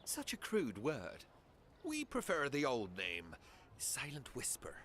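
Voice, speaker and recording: A man playing a guarded, sly spy in a fantasy game, with an evasive, arch and measured manner. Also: medium pitch, wildly swooping pitch, fairly bright tone, heavily acted. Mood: coy, evasive. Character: guarded, sly male spy of an empire's intelligence service. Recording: clear background noise and ambience.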